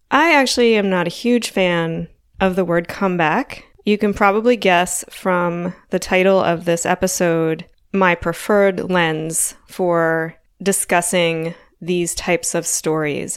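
A woman in her forties hosting her own podcast in a chatty, casual way, a little hoarse from a frog in her throat and laughing lightly between thoughts. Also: fairly low pitch, no room sound, studio quality. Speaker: podcast host. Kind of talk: casual solo podcast talk.

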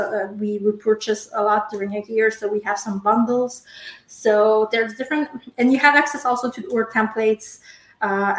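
A woman who is not an English speaker answering interview questions, hesitant with many uhs and restarts. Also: mid-range voice. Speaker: guest, company sales contact. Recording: roomy echo.

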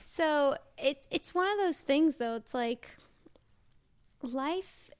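A woman's voice: sits high, lively intonation, slow, no room sound, clean studio sound. A woman co-hosting a podcast, a youthful-sounding voice, chatting in a rambling way full of likes and restarts. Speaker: podcast co-host. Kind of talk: casual chat.

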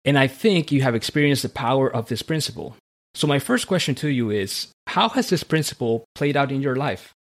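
A man's voice: medium pitch, expressive, brisk. A man hosting a podcast, reading a motivational script in an earnest tone, then interviewing a guest. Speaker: podcast host.

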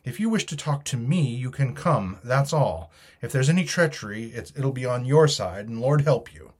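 A man's voice: mid-range voice, expressive, moderate pace, darkish tone, slightly rough. A man voicing a stern, scornful sea captain giving clipped, authoritative orders in a storybook reading.

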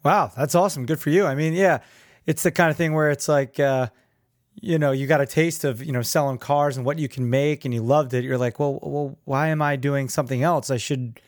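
A man's voice: a little high, steady tone, brisk, dry recording, clean studio sound. A man hosting a podcast in a casual, upbeat, chatty interview style. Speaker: podcast host.

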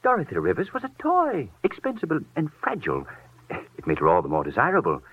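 A smug, jittery villain of means in an old radio noir. He talks quickly and fussily, his pitch swinging wildly. The sound is husky, on a thin vintage recording. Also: wildly swooping pitch, narrow, tinny audio. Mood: gloating. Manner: fussy, fluttery, self-satisfied. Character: smug, nervous, wealthy male murderer paying off blackmail. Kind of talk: 1940s radio noir acting.

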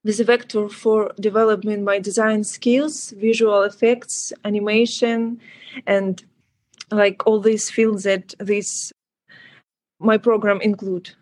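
A woman's voice: mid-range voice, little pitch movement, slow pace, darkish tone. A young woman from Ukraine with a Ukrainian accent, speaking careful, earnest English as a guest on an interview podcast. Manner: careful, earnest non-native English with some restarts. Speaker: guest, student.

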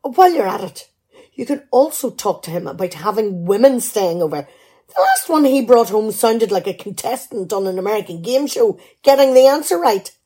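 A woman playing a nagging, exasperated, sharp-tongued middle-aged wife in a radio play. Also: a little high, lively intonation, moderate pace, breathy edge. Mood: sarcastic, exasperated. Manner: exasperated, sarcastic scolding.

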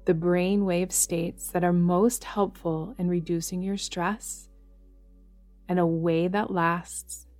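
A woman leading a guided yoga nidra meditation in a gentle, soothing manner, leaving long pauses between phrases. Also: fairly low pitch. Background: background music.

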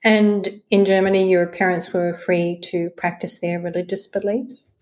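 A woman interviewer asking questions in a measured way. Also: fairly low pitch, level tone. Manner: measured, with quiet backchannels.

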